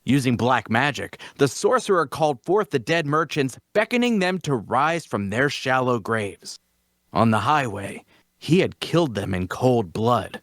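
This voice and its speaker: A man's voice: medium pitch, sing-song, a little slow, a bit bright, dry recording, studio quality. A man playing a self-important adventurer, telling a heroic tale in a grandiose, theatrical manner at a table read. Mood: grave, dramatic. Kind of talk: dramatic fantasy dialogue reading.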